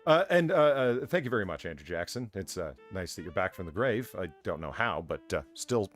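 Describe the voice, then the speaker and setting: Low-pitched man hosting, rambling and halting. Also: very animated, faint music behind. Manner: rambling, halting, stop-and-start storytelling. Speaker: show host reading out donations. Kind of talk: casual, profane banter on a livestream.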